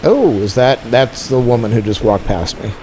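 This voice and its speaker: A man's voice: medium pitch, expressive, moderate pace, a little mellow, slight background noise, low-quality recording. A man giving casual, rambling, explanatory off-the-cuff narration as a plane-spotting vlogger outdoors.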